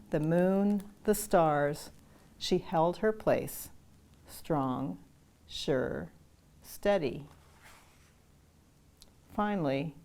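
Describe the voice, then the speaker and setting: An older woman reads a children's story aloud at an unhurried, deliberate pace. Her voice sits a little low, and she lets each line land before moving on. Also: a little mellow. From Canada. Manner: slow, measured reading with chanted lines. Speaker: storytime reader. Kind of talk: reading a picture book aloud.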